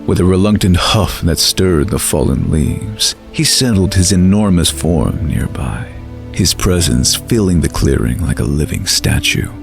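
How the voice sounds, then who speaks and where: A man's voice: low-pitched, animated, measured pace, creaky, music in the background. A man narrating a scripted fantasy audio story.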